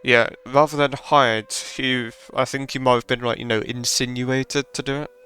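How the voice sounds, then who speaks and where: A man's voice: mid-range voice, little pitch movement, normal speed, sharp tone, smooth, faint music behind. A man giving casual live stream commentary while playing a game.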